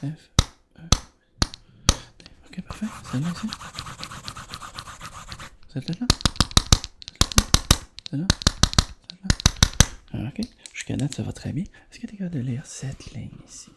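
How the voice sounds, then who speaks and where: A man with a Quebec French accent doing a soft, hushed, reassuring ASMR roleplay as an examiner. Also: on the high side, big pitch swings, normal speed, vocal fry, long pauses, very noisy.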